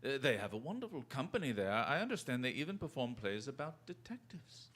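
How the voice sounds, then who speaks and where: Male actor as clever detective, droll, precise, self-assured, very lively intonation, theatrical radio drama. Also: medium pitch, a little fast. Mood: genial.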